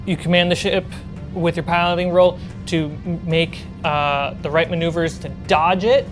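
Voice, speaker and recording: A woman's voice: on the low side, level tone, moderate pace. A woman game master narrating a tabletop role-playing game in an improvised, suspenseful way. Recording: loud background music under the voice.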